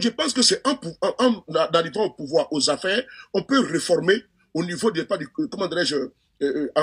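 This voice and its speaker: Brief: high-pitched Ivorian man, fast husky delivery, fervent political talk with many restarts. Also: fairly bright tone. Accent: Ivorian French. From Côte d'Ivoire. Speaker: political commentator.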